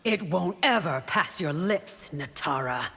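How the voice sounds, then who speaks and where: An actress playing a proud, loyal and devout royal bodyguard in a video game, composed, formal and stern. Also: female voice, lowish voice, lively intonation, a little slow. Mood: stern, defiant. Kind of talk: video game character acting. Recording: long reverb with faint noise.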